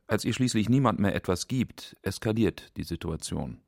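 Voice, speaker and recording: A man's voice: on the low side, animated, moderate pace, gravelly, a little mellow, no echo, studio quality. A man narrating a documentary feature from a script.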